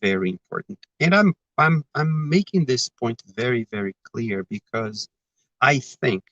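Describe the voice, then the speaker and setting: A man's voice: medium pitch, expressive, a little slow, a bit bright, no echo, highs cut off. A man from Brazil with a Brazilian Portuguese accent, giving a reflective, digressive spiritual talk as a spiritist speaker. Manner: digressive, thoughtful, self-aware about repeating himself.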